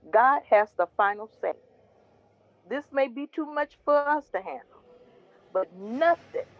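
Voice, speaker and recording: A devout mother in an audio drama, consoling her son with gentle, earnest faith. Her voice sits a bit high and comes through slightly dull and lo-fi. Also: no echo. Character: Mama Ronnie, warm devout foster mother. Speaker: Drew's mother.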